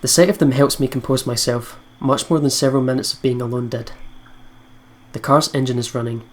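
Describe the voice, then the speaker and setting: A man's voice: medium pitch, steady tone, fairly quick. A man who plays games for viewers, reading a visual novel's narration aloud. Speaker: let's player. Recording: game music behind the voice.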